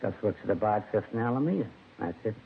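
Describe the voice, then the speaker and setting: An actor playing a hungover American laborer in a 1950s radio crime drama, slangy, aggrieved and rambling. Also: male voice, fairly low pitch, lively intonation, fast, creaky, narrow, tinny audio. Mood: groggy, recollecting. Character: grumbling, hungover male laborer in jail. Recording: thin, faintly noisy vintage radio recording.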